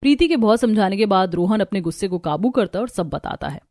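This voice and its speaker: A woman's voice: mid-range voice, lively intonation, quick pace, darkish tone. A woman narrating a scripted cartoon story.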